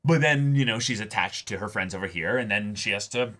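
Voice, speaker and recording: A man hosting a reaction show, giving casual, opinionated commentary in a halting way, repeating words and slipping into quoted asides. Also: fairly low pitch, pushed and bright. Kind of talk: casual opinionated discussion of an anime episode.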